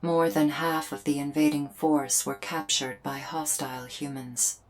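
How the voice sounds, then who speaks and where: A woman voicing a solemn female alien invader in a scripted ASMR roleplay, speaking in measured, formal, deliberate phrases with pauses. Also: deep voice, flat delivery, a little hiss or hum. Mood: grave, measured. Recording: music behind the voice. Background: music.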